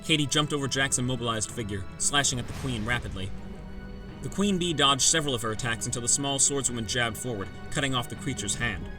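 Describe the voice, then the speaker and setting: A man's voice: medium pitch, fast talker. A man giving dramatic scripted first-person narration for a fantasy audiobook. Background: background music.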